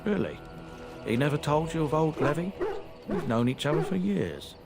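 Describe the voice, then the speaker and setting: A man's voice: a little high, big pitch swings, normal speed, gravelly. A man voicing an older male trader in a fantasy video game, talking in a chatty, folksy, rambling way. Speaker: merchant character in a video game. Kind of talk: voiced game dialogue. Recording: game audio over background music. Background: game soundtrack music.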